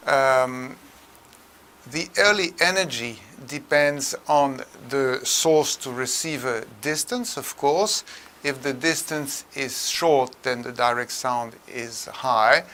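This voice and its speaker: An older man giving a tutorial lecture in a measured, halting way with frequent pauses. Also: medium pitch, pushed and bright. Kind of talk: tutorial lecture on room acoustics.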